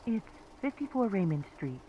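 A woman's voice: mid-range voice, sing-song, moderate pace, close to an everyday voice. A woman playing a frightened mother phoning for emergency help in a video game. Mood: anxious. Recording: muffled phone call with faint noise.

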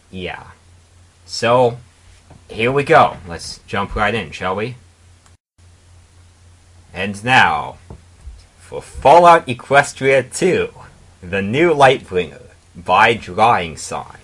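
A man presenting and reading fan fiction aloud in a deliberate, mock-dramatic way. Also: on the low side, animated, fairly bright tone, breathy edge. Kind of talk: casual intro and dramatic reading.

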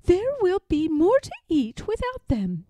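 A woman's voice: very high voice, huge pitch swings, measured pace, mellow, no echo, pitched above the performer's own voice, exaggerated voice. A woman voicing a fussy, sighing mother sow who instructs and admonishes in a storybook read-aloud. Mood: exasperated.

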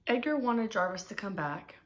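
A young adult woman reading a children's picture book aloud in a deliberate, expressive storytelling manner. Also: mid-range voice, slight background noise. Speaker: storybook reader. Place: small room at home, indoors.

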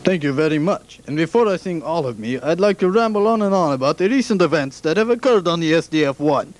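A man's voice: a little high, animated, quick pace, natural voice, low-quality recording. A man voicing a pompous, long-winded middle-aged ship commander in a fan parody redub of a cartoon. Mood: rambling.

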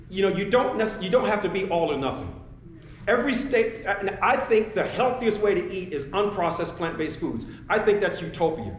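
A man's voice: sits high, a little hiss or hum. A man on a panel, answering questions in a rambling way and repeating his words. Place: retail sports store, indoors. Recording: echoey store room.

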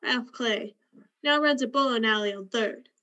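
A woman's voice: on the high side, moderate pace, sharp tone. A woman playing a cold, flippant, bitter young woman in a radio play, with a blunt, sardonic delivery.

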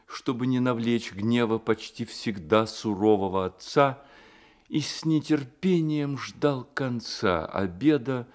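Slow, expressive male storyteller. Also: on the low side. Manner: expressive storytelling with deliberate phrasing. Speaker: narrator. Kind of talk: scripted literary narration for a radio play.

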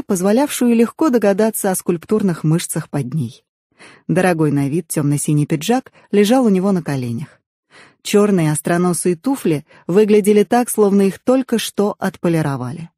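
A woman reading a novel aloud as an audiobook narrator, in expressive first-person storytelling. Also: fairly low pitch, dry recording, clean studio sound.

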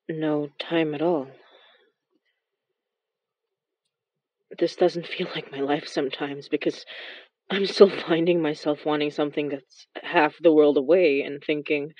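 A woman's voice: low-pitched, no room sound. Woman acting a reflective young immigrant woman leaving a wistful message, audio drama, close and a bit lo-fi. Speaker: narrator and lead of a horror fiction podcast.

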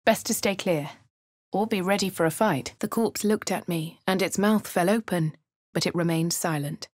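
An actress voicing a posh, wry young adventurer woman with an English accent, reading game lines and narration in a crisp, well-spoken way. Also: fairly low pitch, moderate pace, darkish tone, dry recording, clean studio sound, lightly acted. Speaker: player character voice. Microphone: studio mic, dry.

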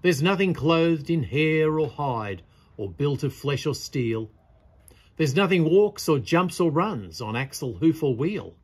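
A man's voice: a little high, very animated, unhurried, darkish tone, husky, heavily acted. A man voicing a cocky, boastful bushman with a pompous, bragging manner in a storybook poem.

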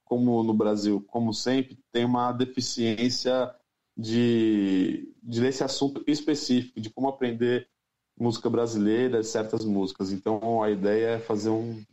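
A man from Brazil, a music teacher and producer, talking through his plans in a relaxed, thoughtful interview. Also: medium pitch, highs cut off. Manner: thoughtful, unhurried, many fillers. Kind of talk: casual interview talk.

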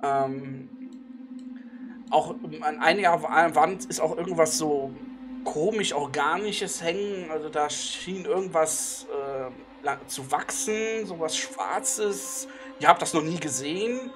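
A man narrating casually as game master of a tabletop game, with a halting, hesitant delivery. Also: on the high side. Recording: music behind the voice.

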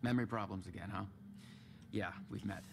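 A man's voice: on the low side, fairly quick, creaky, background noise. An actor playing an affable, puzzled lawman stranded in a dream world, in a naturalistic video game style. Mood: friendly, wry.

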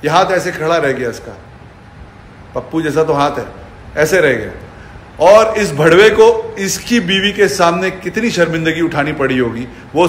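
A man's voice: fairly high pitch, a little hiss or hum. A man in an angry, taunting, sweary rant to his phone camera, recorded in an echoey living room. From India. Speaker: fitness personality. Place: furnished living room indoors. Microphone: phone at arm's length.

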